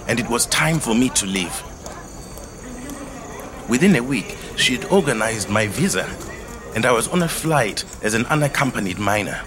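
An actor playing a quiet, thoughtful middle-aged man who tells his life story in a measured, reflective monologue. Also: lowish voice, natural voice. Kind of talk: scripted first-person monologue, audio drama storytelling.

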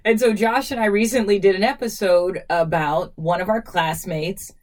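A woman in her forties hosting a casual podcast, animated and laughing easily, with a quick exasperated edge. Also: mid-range voice. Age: 40s. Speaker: podcast host. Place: studio.

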